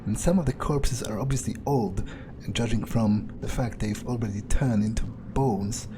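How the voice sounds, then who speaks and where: A man's voice: on the low side, moderate pace, noisy background. A man doing in-character game commentary, thinking aloud in a musing, hesitant, casually sweary way. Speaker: video game player narrating in character.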